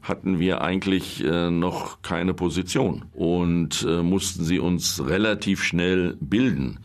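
A man with a very deep, gravelly voice recalls the past in an interview. He talks hesitantly and fills his pauses with ums. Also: fairly even intonation. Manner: hesitant, with many fillers. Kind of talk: reminiscing interview.

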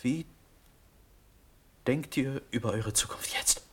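An actor playing an ardent young Prussian prince in a classical radio drama, declaiming with breathy hushes and sudden outbursts. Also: male voice, medium pitch, slow. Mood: tender, yearning. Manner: impassioned declamation, swinging from breathy hush to outbursts.

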